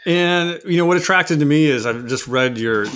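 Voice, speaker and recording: A man's voice: a little high, moderate pace, a bit bright, clean tone. A middle-aged man hosting a podcast, introducing and interviewing. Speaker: podcast host.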